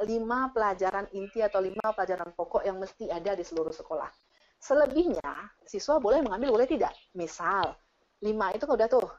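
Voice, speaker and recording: A woman giving casual, animated explanations as she answers audience questions on an online call. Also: medium pitch. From Indonesia. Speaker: teacher and speaker sharing her experience. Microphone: online video call. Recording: dull, lo-fi online meeting audio.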